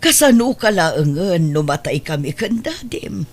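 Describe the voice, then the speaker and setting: A woman's voice: lowish voice, big pitch swings, unhurried, exaggerated voice. A woman playing a worried, pleading mother in a radio drama, speaking earnestly and drawing out her words with emotion. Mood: hurt, pleading. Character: worried, pleading mother of a wayward grown son. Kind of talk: Ilocano radio drama acting.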